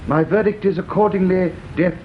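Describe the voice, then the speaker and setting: Man acting a coroner, fairly high voice, formal, officious, deliberate, radio drama, muffled sound. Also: steady tone, natural voice. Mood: solemn, official. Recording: dull, slightly noisy radio recording.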